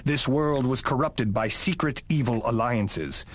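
A man voicing a solemn, wise guardian god and mentor in a video game, speaking gravely and formally. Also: mid-range voice, a little slow, no room sound, natural voice. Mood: solemn, warning. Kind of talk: dramatic video game voice acting. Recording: loud ambient noise behind game dialogue.

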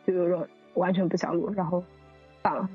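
A woman's voice: on the low side, level tone, brisk, breathy edge, phone-quality sound, faint music behind. A woman hosting a casual group chat, chatty and often prompting others with questions. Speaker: host of a drama cast discussion.

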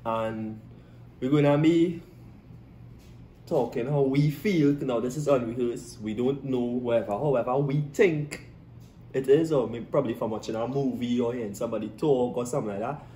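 A man's voice: medium pitch, moderate pace, dark tone. A young Trinidadian man co-hosting a vlog, chatting playfully and laughing often. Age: young adult. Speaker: vlog co-host. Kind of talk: casual joking chat. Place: small indoor room with dark backdrop. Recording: roomy echo, faint noise.

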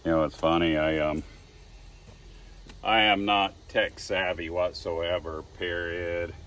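A man's voice: deep voice, fairly even intonation, slow, fairly bright tone, distant mic. Folksy man in his sixties. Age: 60s. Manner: folksy, chuckling, self-deprecating storyteller. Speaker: wood carver. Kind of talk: casual talk to camera. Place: outdoor wood yard. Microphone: phone camera at a distance. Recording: voice slightly off-mic, faint outdoor noise.

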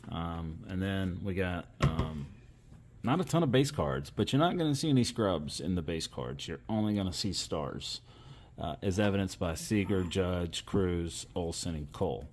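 Male hobby host, low register, conversational commentary, faint noise in the background. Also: lively intonation, moderate pace, a little mellow. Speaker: host of a card-opening channel. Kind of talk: casual commentary while opening trading cards.

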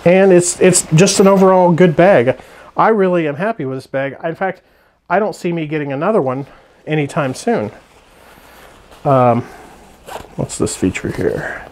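A man's voice: fairly high pitch, expressive, moderate pace, pauses often, darkish tone, slight background noise. A man hosting a hiking channel, reviewing a sleeping bag in a loose, rambling way with many restarts and fillers. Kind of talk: casual gear review.

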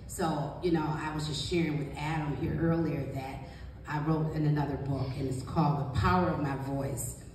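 Deep-voiced woman speaking in an echoey room. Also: fairly even intonation, normal speed, darkish tone. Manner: emphatic, encouraging. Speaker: guest author and book coach. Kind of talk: motivational panel talk to an audience. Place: small indoor meeting room. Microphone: handheld mic, captured by distant camera. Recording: distant, very echoey room, faint noise.

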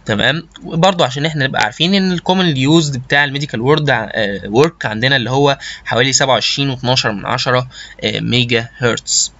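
An Egyptian man gives an informal technical lecture in a bright, forceful voice. He talks quickly but haltingly, repeating words and dropping in English terms. The recording is dull and lo-fi. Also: mid-range voice, a little hiss or hum. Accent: Egyptian Arabic. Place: voice-over recorded over slides.

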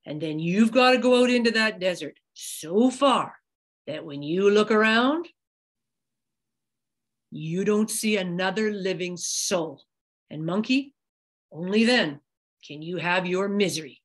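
A woman voicing a solemn male god who speaks slowly in a big booming voice. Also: natural voice. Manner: slow, grave, booming.

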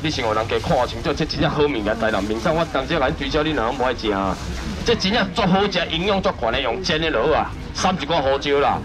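A man running a live seafood auction at an outdoor night market stall, loudly shouting prices and sales patter. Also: lively intonation. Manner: loud rapid sales pitch, shouting prices. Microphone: wide camera in front of stall. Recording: noisy outdoor market, traffic behind.

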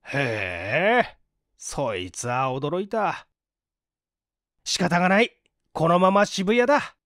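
A man's voice: high voice, expressive, takes it slowly, fairly bright tone, no room sound, studio quality, heavily acted. A man voicing a broke, hungry fellow in a storybook reading, coaxing and wry in half-polite talk. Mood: wry, resigned.

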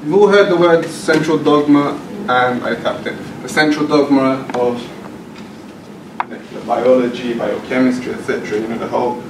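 A man's voice: mid-range voice, normal speed. Young male student speaker, easygoing presenter with audience banter; far mic, echoey seminar room. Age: young adult. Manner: relaxed, joking with audience. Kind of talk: informal presentation. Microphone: far room camera. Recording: echoey room, distant mic, background noise.

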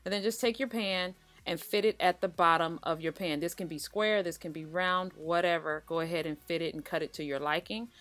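Low-voiced woman narrating a baking tutorial. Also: steady tone, a little fast, few pauses, a bit bright. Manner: chatty, reassuring, speaks to viewers as "you guys". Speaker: cooking channel host. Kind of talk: relaxed voice-over baking tutorial.

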